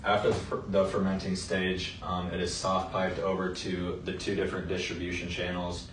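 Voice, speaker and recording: Young male student, very deep and monotone, slow with ums, echoey and distant. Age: young adult. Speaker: student presenter. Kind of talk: class project narration. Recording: roomy, distant mic, faint noise.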